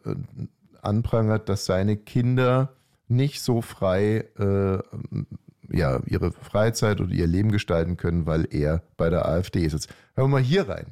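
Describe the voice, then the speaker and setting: A man co-hosting a podcast, talking in a casual, hesitant way with many pauses and restarts. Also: on the low side, dark tone. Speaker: podcast co-host. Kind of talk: casual conversational commentary.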